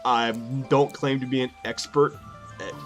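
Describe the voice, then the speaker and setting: A man's voice: mid-range voice, fairly even intonation, measured pace, a bit bright. A man hosting a let's play, thinking aloud in a casual, self-deprecating way. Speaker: gaming video host. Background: game music and effects.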